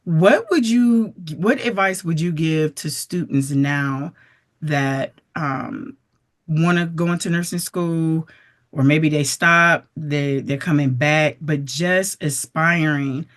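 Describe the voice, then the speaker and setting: A woman's voice: low voice, slow. Enthusiastic woman interview host. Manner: enthusiastic, affirming, many encouraging interjections. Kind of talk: casual interview conversation.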